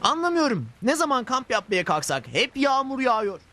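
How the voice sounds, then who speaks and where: A dopey, innocent cartoon dog. The voice sits very high and muses in naive puzzlement, with loud music behind it. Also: male voice, big pitch swings, normal speed. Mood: puzzled. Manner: puzzled musing to himself. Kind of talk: Turkish cartoon dub.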